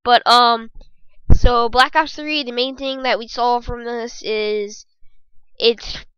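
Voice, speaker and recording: A young woman with a squeaky voice hosting a casual gaming podcast from her bedroom, rambling and joking as she talks. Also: steady tone, takes it slowly, a bit bright, smooth, no echo, muffled recording. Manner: rambling, jokey, self-correcting. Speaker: podcast host.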